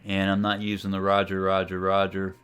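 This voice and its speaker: Low, clear-voiced man thinking aloud. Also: completely flat delivery, a little fast, a bit bright. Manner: unscripted, thinking aloud while operating. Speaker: amateur radio hobbyist demonstrating software. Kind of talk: casual screen-share tutorial narration.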